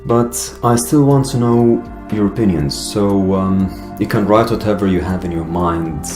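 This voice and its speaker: Young adult male, Arabic accent, low-pitched, deliberate vlogger delivery, roomy sound, faint guitar bed. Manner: earnest, deliberate, with pauses and fillers. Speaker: YouTuber. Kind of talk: personal video monologue. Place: Finland. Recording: echoey room with guitar music behind.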